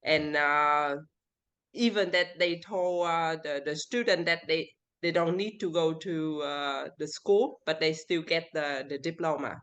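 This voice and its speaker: A woman with a Vietnamese accent speaking English as a second language, answering interview questions haltingly and searching for words. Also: low-pitched, steady tone, fairly bright tone. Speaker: interview guest. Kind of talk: casual interview.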